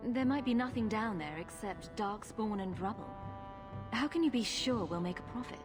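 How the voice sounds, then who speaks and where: A woman's voice: fairly high pitch, expressive, normal speed, lightly acted. An actress playing a guarded young adventurer woman in a video game, questioning in a cool, dry manner. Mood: skeptical. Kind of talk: video game cutscene dialogue. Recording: music behind the voice. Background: background music.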